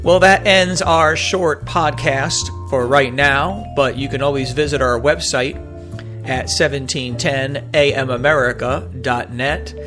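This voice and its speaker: A man's voice: medium pitch, fairly even intonation, measured pace. A man hosting a radio program, giving a presenter's sign-off narration. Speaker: radio show host. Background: music.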